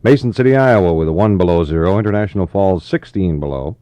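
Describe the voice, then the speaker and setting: A man's voice: low voice, quick pace, dark tone. An American man working as a 1960s middle-of-the-road radio DJ, chatting in an easygoing, folksy way. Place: radio studio. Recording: dull, roomy 1960s AM radio aircheck.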